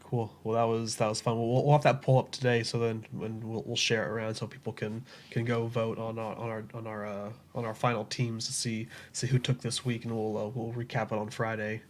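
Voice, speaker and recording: A man's voice: on the low side, flat delivery, fairly quick, few pauses. A man co-hosting a livestream in an easygoing way, often repeating words as he gets going. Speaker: co-host of a crypto livestream. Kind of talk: casual livestream chat.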